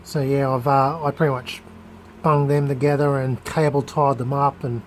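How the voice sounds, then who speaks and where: A man with an average-pitched voice talks through a hands-on hobby demonstration. He is unscripted and casual, often halting as he hunts for the right word. Light background noise and room ambience sit under his voice. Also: little pitch movement, poor audio. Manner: halting, searching for words. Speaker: hobbyist electronics restorer presenting a video.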